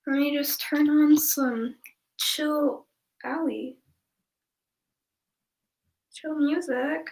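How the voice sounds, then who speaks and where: Congested young woman, slow chatty. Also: high voice, steady tone, vocal fry, darkish tone, roomy sound, poor audio. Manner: chatty and rambling, many ums, laughs easily. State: congested, stuffy nose. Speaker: stretching instructor. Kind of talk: casual live stretching class. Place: home room, indoors.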